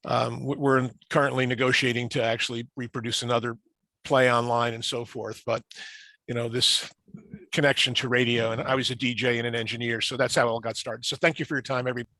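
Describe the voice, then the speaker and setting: An older man from upstate New York speaking on a video call in a halting, wordy, formal and courteous way. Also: medium pitch, level tone, sharp tone, no echo. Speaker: club member asking a question. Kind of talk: conversational remarks on a video call.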